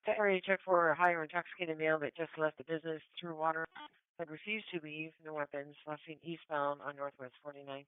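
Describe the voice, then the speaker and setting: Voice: mid-range voice, little pitch movement, fast, clear, resonant tone. An American police dispatcher relaying procedural traffic over a two-way radio in a clipped, businesslike way. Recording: thin phone-like police scanner radio.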